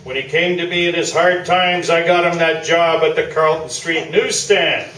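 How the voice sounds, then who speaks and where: Man playing a smug, high-voiced old-school sports columnist. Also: normal speed, a bit bright, close to an everyday voice. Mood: smug, mock-sorrowful. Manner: pompous, mock-sincere. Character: smug, self-promoting veteran male sports columnist, falsely modest. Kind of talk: staged radio play interview before an audience. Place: small theatre, live staged performance. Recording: roomy distant stage recording with noise.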